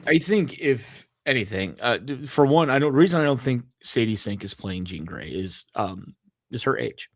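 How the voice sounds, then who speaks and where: A man co-hosting a podcast, chatting casually in a measured, hesitant way and qualifying his opinions. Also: mid-range voice, lively intonation, no echo, clean studio sound. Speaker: podcast co-host. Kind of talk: casual chat about comics and films.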